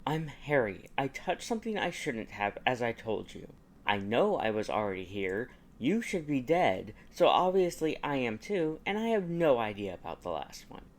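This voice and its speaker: A man's voice: medium pitch, sing-song, normal speed. A man voicing a sullen, hot-tempered teenage boy wizard in an audiobook, blunt and grudging in manner. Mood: defiant. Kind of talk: audiobook character dialogue.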